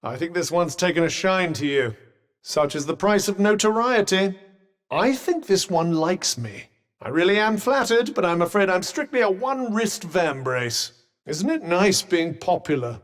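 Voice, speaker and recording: An actor voicing a sentient magical vambrace in a video game, a wry, haughty, cautious companion with a British accent and dry, sardonic, formal understatement. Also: male voice, high voice, very animated, moderate pace. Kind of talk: video game character dialogue. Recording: long reverberant echo on the voice.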